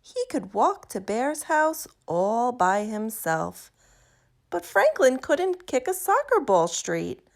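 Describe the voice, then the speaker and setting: A woman reading a children's picture book aloud in an expressive, deliberate, emphatic way. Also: a little high. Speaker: storybook reader.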